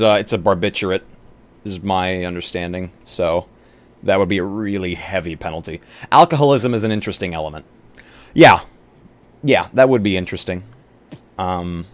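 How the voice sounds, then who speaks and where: A man chatting casually on a live roleplaying stream as he talks through building a game character. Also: deep voice.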